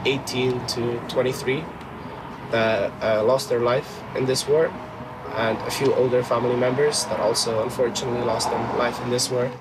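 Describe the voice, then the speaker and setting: A twenty-year-old man with a Dublin accent, a soldier speaking haltingly in an informal interview. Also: mid-range voice, little pitch movement, background noise. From Dublin, Ireland.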